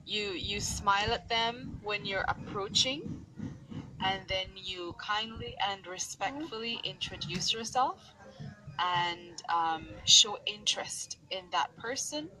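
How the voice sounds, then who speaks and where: A woman tutoring English over a video call, explaining patiently and clearly. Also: mid-range voice, low-quality recording. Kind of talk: online tutoring conversation.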